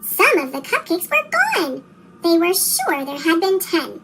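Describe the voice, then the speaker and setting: Very high, chipmunk-pitched storybook reader. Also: heavy background noise. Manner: animated, expressive, slow read-aloud delivery for young children. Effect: chipmunk-style pitched-up voice. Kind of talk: scripted children's storybook narration.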